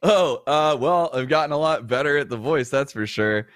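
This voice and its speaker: A man's voice: mid-range voice, normal speed, fairly bright tone, dry recording, clean studio sound. A man in his thirties, a show co-host, chatting casually on a livestream with frequent fillers and laughs.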